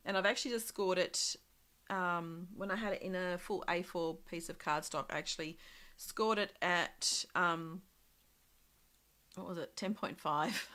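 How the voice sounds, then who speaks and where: Croaky, chatty woman demonstrating crafts. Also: lowish voice, unhurried, pushed and bright. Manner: chatty, thinking aloud with many ums and small laughs. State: slightly croaky from a cold. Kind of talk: casual live craft demo.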